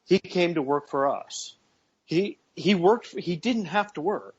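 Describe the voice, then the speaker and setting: A man's voice: high-pitched, animated, unhurried, breathy edge, dull audio. A man in his forties co-hosting a casual radio talk show, earnest and repeating words as he works through his points. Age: 40s. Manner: earnest, repeats words while gathering thoughts.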